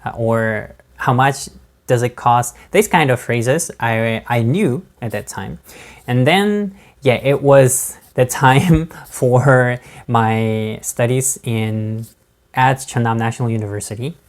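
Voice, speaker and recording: A man speaking English with a non-native accent, recounting his studies in a casual vlog, hesitant with many fillers and restarts. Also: medium pitch. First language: not English. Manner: halting, many fillers and restarts, laughs between words. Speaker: vlogger. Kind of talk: casual talk to camera.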